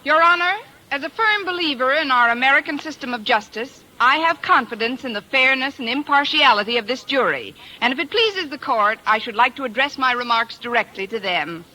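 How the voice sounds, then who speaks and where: An actress playing a sardonic, quick-witted female high school teacher in an old radio comedy. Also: expressive, bright, forceful tone. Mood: mock formal. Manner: dry, wisecracking. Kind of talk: 1940s-50s radio comedy acting. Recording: noisy old radio show recording.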